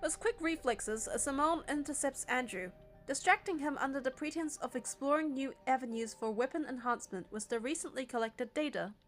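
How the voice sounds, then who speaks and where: High-pitched woman narrating a story. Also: animated, a little fast, faint music behind. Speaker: narrator and game player. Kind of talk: scripted first-person story narration.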